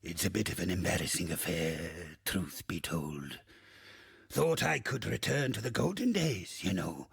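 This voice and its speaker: Gravelly, raspy old undead pirate captain. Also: male voice, deep voice, animated, unhurried, no room sound, studio quality, heavily acted. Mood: wistful. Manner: archaic pirate dialect, swaggering, theatrical, cursing. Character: gruff, salty old undead male pirate captain, crude and boastful. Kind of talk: video game character acting.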